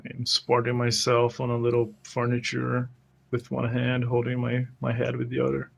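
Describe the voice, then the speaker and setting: A man's voice: medium pitch, monotone, moderate pace, creaky, breathy edge. Male tabletop player, middle register, little inflection, narrating what his character does. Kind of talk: narrating own character's actions.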